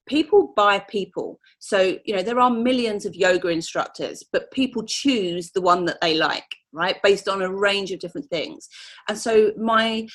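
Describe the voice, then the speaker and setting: A woman business mentor giving candid, energetic answers in an interview, often saying "you know". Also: medium pitch.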